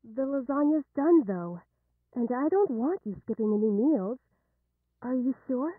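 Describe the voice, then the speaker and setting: A woman playing a caring, worried middle-aged mother in a game, gentle and pleading. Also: a little high, expressive, moderate pace, slightly rough, no echo, phone-quality sound. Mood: concerned. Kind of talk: dramatic game voice acting.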